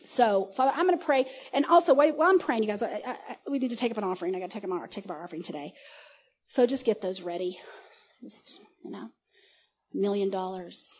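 A woman in her forties giving a casual, conversational sermon at a church service, animated, often laughing and restarting her sentences. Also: mid-range voice, fast talker, husky. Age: 40s. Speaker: preacher.

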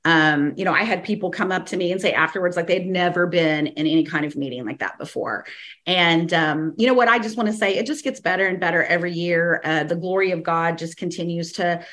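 A woman hosting a teaching podcast, slightly hoarse, talking in a rambling casual way with many fillers, restarts and short laughs. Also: lowish voice, a little fast, fairly bright tone. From American South. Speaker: podcast host and ministry teacher.

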